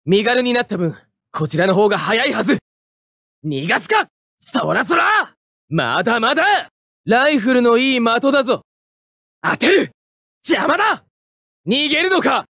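Proud young robot pilot, anime game battle barks, hot-headed, forceful, very animated pitch, dry studio sound. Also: male voice, a little slow, pauses often, dry recording, exaggerated voice. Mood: combative. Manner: forceful, cocky shouting of short attack calls.

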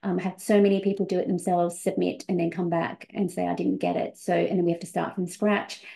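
Creaky-voiced woman hosting a webinar. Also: on the low side, little pitch movement, fairly quick, dark tone. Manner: many um fillers, earnest. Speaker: visa coach and webinar host. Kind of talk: webinar presentation. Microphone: video call.

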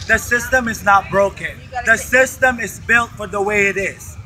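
A man with a high, bright voice argues at an outdoor protest camp. He hammers his points with rhetorical questions and the odd curse word. The sound comes from a handheld camera in an open park. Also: normal speed, a little hiss or hum, poor audio. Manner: forceful, rhetorical questions, swearing. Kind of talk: impassioned street debate.

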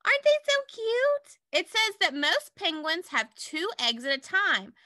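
A woman reading aloud to young children like a teacher, in an animated way with simple explanatory asides. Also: extremely high pitch, a little slow, dry recording, studio quality.

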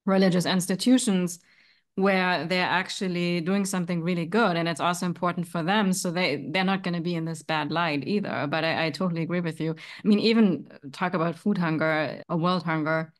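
A woman's voice: fairly low pitch, steady tone, brisk. A woman in her thirties, from Germany, hosting a friendly interview over a video call with many fillers. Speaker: podcast host. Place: home room.

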